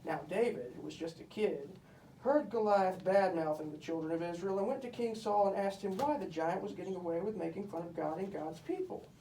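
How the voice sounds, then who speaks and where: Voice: mid-range voice, brisk, mellow, natural voice. A boastful, bossy puppet kid telling comic Bible stories , voiced by a performer. The delivery is pompous, emphatic and easily exasperated. It is heard from a distance in an echoey room with faint noise. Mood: self-important storytelling. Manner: pompous, emphatic, mock-scholarly.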